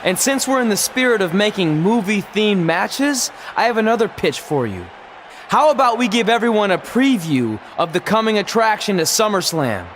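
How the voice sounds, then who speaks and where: A man's voice: high-pitched, very animated, moderate pace, a bit bright, a little hiss or hum. A man playing a cocky, defiant wrestler in a video game, brash and sarcastic as he delivers a promo. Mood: cocky, mocking. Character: cocky, defiant young male wrestler turned movie star.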